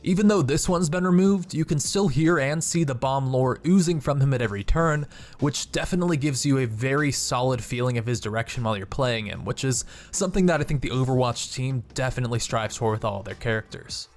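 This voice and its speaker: A man's voice: mid-range voice, fairly quick. A man hosting a video game channel, reading scripted narration. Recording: background music under voice. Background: music.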